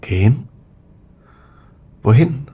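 A man's voice: medium pitch, expressive, extremely slow, warm tone, breathy edge, heavily acted. A man playing a weary, worried scientist in a German spy audio drama, speaking hesitantly and full of questions. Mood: wary, resigned. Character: weary, worried Soviet scientist, a cautious male lover.

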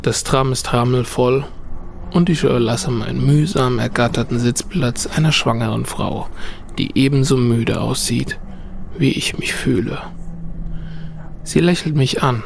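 A weary, solitary bureaucrat of a radio play, acted by a man speaks slowly at a middling pitch. The delivery is introspective and measured, in terse phrases, over faint background noise. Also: creaky. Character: weary, solitary male bureaucrat with quiet grief. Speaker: narrator and lead actor in an audio drama. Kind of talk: first-person narrated radio play.

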